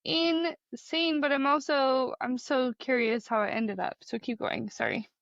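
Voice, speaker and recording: A woman co-hosting a podcast in casual chat, curious and quick with questions and reactions. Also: high voice, fairly even intonation, gravelly, fairly bright tone, no echo, lo-fi sound. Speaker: podcast co-host.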